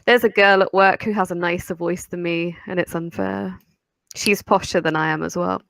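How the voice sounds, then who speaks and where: Chatty gravelly-voiced woman. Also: on the low side, steady tone, moderate pace, a bit bright, no room sound. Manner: chatty, playful. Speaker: streamer co-host. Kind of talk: casual chat on a livestream.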